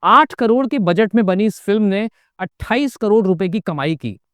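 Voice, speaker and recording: A man's voice: sits high, expressive, normal speed, a bit bright, clean tone, dry recording, clean studio sound. A man narrating a scripted political commentary, pointed and emphatic, with sarcastic asides. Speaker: political video essayist.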